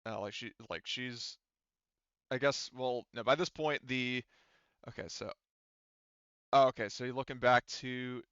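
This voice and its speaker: Bright, clear-voiced male game master. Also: medium pitch, steady tone, no echo, lo-fi sound. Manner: halting, thinking aloud. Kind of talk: casual improvised tabletop game narration.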